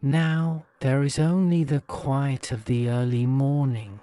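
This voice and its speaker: A man narrating a guided-imagery sleep story in a soothing, measured manner. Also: mid-range voice, fairly even intonation, mellow, smooth, dry recording.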